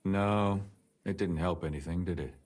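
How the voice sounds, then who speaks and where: Really deep husky medieval lawman in a video game, serious and full of regret. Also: steady tone, moderate pace, darkish tone. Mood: remorseful, earnest. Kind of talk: video game voice acting, dramatic dialogue.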